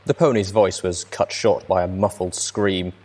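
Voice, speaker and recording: Low-voiced man reading a story aloud. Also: fairly even intonation, normal speed, dark tone. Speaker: audiobook reader. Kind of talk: fan fiction reading, first-person narration.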